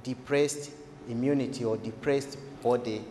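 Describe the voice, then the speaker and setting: A man speaking as a health expert in a didactic, deliberate way, asking questions and answering them himself as he explains. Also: medium pitch, steady tone, warm tone. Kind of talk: explanatory interview talk for a documentary. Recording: echoey hall-like room, faint noise.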